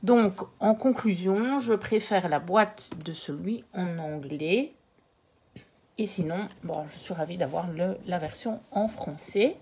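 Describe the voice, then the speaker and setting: A woman hosting her own channel, comparing two products in a casual, hesitant way with frequent filler pauses. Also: fairly low pitch, slight background noise. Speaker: channel host reviewing tarot decks.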